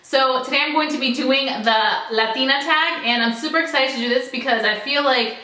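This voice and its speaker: Bright chatty young woman vlogging. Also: sits high, a little fast, roomy sound. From United States. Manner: chatty and upbeat, heavy use of "like" and "so". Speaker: beauty vlogger. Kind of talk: casual vlog talk to camera.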